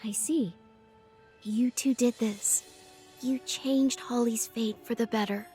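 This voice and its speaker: A gentle, grateful woman on the edge of fading away, speaks in a soft, rather high voice. Her delivery is very slow and solemn, in video game drama style, with music clearly playing behind her. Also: steady tone. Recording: voice under clear background music.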